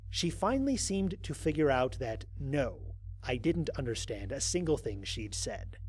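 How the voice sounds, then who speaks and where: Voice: mid-range voice, husky, low-quality recording. An actor playing a wry, exasperated astronaut stranded on an alien world, recording mission logs in an audio drama with dry sarcasm. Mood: sardonic, bemused. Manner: sardonic, dry, expressive emphasis.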